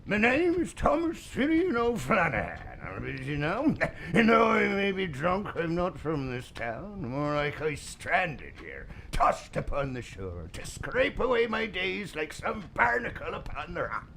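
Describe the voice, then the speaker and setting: A drunk old sea dog, gravelly, voice swooping all over, ominous horror radio play, some noise behind. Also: male voice, on the high side, wildly swooping pitch, unhurried, few pauses, a bit bright, exaggerated voice. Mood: boastful, wistful. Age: older. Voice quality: gravelly, creaky. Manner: theatrical, ominous, salty old-sailor phrasing. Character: drunk old Irish-named seaman, grim tavern storyteller. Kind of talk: theatrical horror audio drama.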